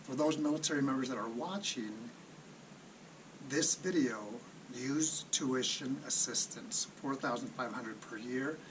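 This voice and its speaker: Distant noisy recording, adult man, medium pitch, casual video host, unscripted commentary, rhetorical questions. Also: unhurried. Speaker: personal finance video host. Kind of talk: casual off-the-cuff commentary on a list of topics. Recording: distant mic, clearly noisy background.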